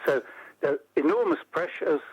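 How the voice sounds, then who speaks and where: An older man from the United Kingdom, a guest on a radio interview, explaining policy in a measured, step-by-step way. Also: on the high side, steady tone, husky, no echo, highs cut off.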